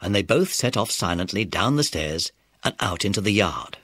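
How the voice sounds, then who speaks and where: A man's voice: on the low side, very animated, moderate pace, fairly bright tone. A British man narrating a scripted children's story.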